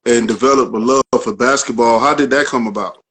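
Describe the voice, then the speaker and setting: Calm man interviewing a guest. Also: medium pitch, fairly quick, husky. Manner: calm, probing, conversational. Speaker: podcast host, interviewer. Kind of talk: interview questions.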